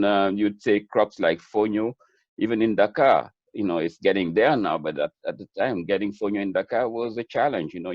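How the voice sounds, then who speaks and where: A middle-aged man with a Senegalese accent speaks in a fairly low voice. He answers conversationally as a guest, earnest and reflective, often repeating words as he builds a point. The sound is dry and somewhat dull, like lo-fi webinar audio. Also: a little mellow, no echo. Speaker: webinar guest, chef and food entrepreneur.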